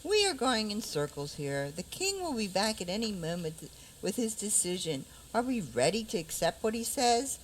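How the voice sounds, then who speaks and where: A woman's voice: medium pitch, sing-song, moderate pace, slight background noise, lightly acted, poor audio. A woman acting a dreamy yet bold fairy-tale princess in a community radio play, with a theatrical delivery. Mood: resolute.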